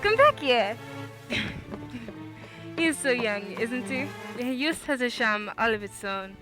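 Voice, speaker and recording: A society temptress for a radio play. She is coquettish and imperious, her high voice swooping theatrically, with light music underneath. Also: big pitch swings, takes it slowly. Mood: flirtatious. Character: flirtatious, imperious French society adventuress.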